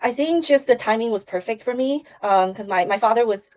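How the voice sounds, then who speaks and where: A woman's voice: fairly high pitch, expressive, brisk, a little mellow. A woman from Taiwan, a guest on a livestream interview, chatting casually with many fillers and restarts over a remote call mic.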